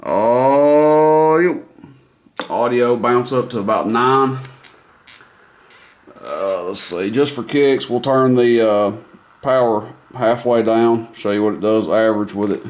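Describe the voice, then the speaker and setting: A man's voice: mid-range voice, takes it slowly. A man casually demonstrating a CB radio for sale at an indoor workbench, talking in an easygoing, rambling way with plenty of fillers. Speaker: CB radio seller. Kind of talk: casual product demo.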